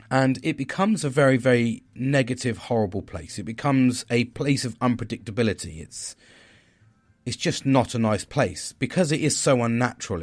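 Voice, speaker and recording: A man with a deep, loud voice, hosting a podcast and talking through a personal reflection in a rambling, candid way, swearing now and then. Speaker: podcast host. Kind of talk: casual reflective monologue.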